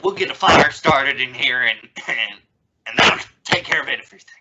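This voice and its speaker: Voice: medium pitch, lively intonation, moderate pace, highs cut off, close to an everyday voice. A woman playing a flustered, impatient middle-aged father in an old-time radio family comedy, stammering in exasperation. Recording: noisy recording with clicks.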